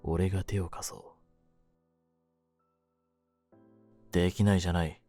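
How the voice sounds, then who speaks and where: A man playing a jaded, domineering yet secretly tender young mafia kidnapper in an audio drama, speaking low in a rough, cool, deliberate way. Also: flat delivery, warm tone, no room sound, soft background music. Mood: commanding. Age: young adult. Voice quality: low-pitched. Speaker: voice actor in a situation voice drama. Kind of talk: Japanese situation-voice audio drama addressed to the listener.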